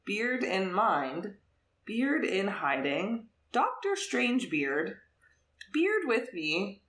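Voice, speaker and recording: A woman co-hosting a podcast, chatting casually about books in an animated, informal way. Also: medium pitch, drawn-out words, reverberant. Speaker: podcast co-host. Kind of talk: casual book chat.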